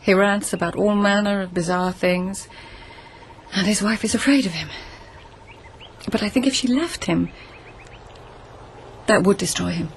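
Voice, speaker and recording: A woman's voice: mid-range voice, unhurried, pauses often, slight background noise, natural voice. An actress playing a poised, witty court lady who also narrates, speaking in a dry, wry way. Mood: composed. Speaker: narrator and lead character. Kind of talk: radio drama acting and narration.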